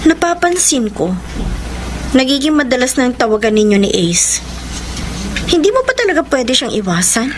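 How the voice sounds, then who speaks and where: A woman's voice: high-pitched, sing-song, moderate pace, long pauses, slight background noise. A woman acting a jealous, insecure young girlfriend in a Filipino radio drama, emotional, speaking with a Filipino accent and mixing in English. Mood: hurt, jealous. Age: young adult. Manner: emotional, mixes English into Tagalog. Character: jealous, insecure young girlfriend confiding her love troubles. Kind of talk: radio drama acting with first-person narration.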